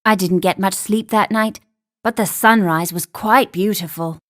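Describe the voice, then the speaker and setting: An actress playing a confident, sharp-tongued young woman, a professor's assistant, in an animated game. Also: medium pitch, unhurried, dry recording, clean studio sound, close to an everyday voice. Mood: reflective. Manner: composed narration, teasing banter. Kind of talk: animated game cutscene acting and story narration.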